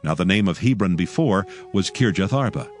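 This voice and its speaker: Low, animated male scripture narrator. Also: brisk, faint music behind.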